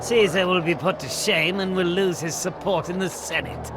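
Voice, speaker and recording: An actor playing a scheming, vengeful Roman senator in a cartoon game, with a theatrical, gloating delivery. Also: male voice, sits high, steady tone, normal speed, music in the background, natural voice. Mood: smug, scheming. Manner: theatrical, gloating, prone to shouting.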